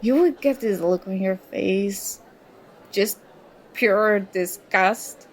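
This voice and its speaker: A woman's voice: medium pitch, takes it slowly, poor audio. A woman acting an indignant, sarcastic young student confronting a former school enemy in an audio roleplay. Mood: accusing, hurt. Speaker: ASMR roleplay performer. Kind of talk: ASMR roleplay acting, one side of a dialogue.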